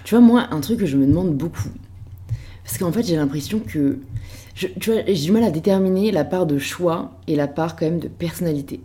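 A woman hosts a podcast in a very low, mellow voice. She asks long, casual questions and pauses on fillers as she goes. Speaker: podcast host. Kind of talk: casual interview chat.

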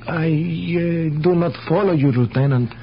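A man's voice: fairly high pitch, unhurried. An actor playing an anxious, hardworking Mexican immigrant man in a radio drama, stammering and pleading as he defends himself. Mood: wary, confused. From Mexico (character). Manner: stammering, pleading, non-native phrasing. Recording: muffled, hissy old radio broadcast.

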